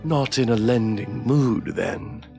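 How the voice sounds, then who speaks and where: A man voicing a calm, menacing witch in a visual novel, teasing and slyly insinuating in a slow manner. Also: mid-range voice, gravelly, soft background music. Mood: slyly curious. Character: calm, menacing, mockingly curious forest witch woman.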